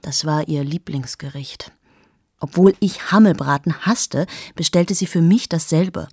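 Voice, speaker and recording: An actress in her thirties playing a cynical, sharp-tongued journalist in a radio play monologue, speaking in sardonic, confiding asides to the listener. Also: sits low, measured pace, breathy edge, no room sound, clean studio sound, full character voice. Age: 30s. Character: cynical 38-year-old woman journalist, sharp-tongued, mocking.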